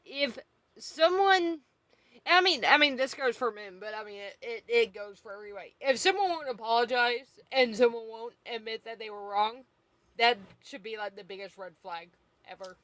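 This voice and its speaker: A woman's voice: on the high side, expressive, normal speed, a bit bright, no echo. A woman co-hosting a casual livestream chat, speaking earnestly with stumbling starts. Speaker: show co-host.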